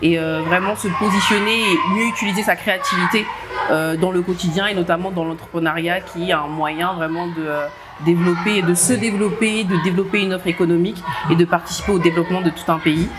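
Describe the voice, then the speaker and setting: A woman's voice: on the low side, quick pace. A young woman who grew up in France, answering interview questions earnestly, with many hesitations and light laughs. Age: young adult. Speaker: entrepreneur guest. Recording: steady background noise.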